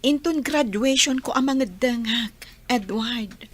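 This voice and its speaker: A woman playing a gentle young college student in love, in a radio drama. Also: a little high, takes it slowly. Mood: tender, earnest.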